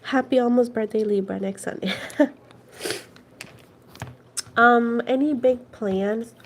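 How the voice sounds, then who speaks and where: A woman's voice: fairly high pitch, takes it slowly, slight background noise. Chatty Puerto Rican woman livestreaming. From Puerto Rico. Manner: switches between Spanish and English, laughs often. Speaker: tarot livestream host. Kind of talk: casual livestream chat reading viewer comments.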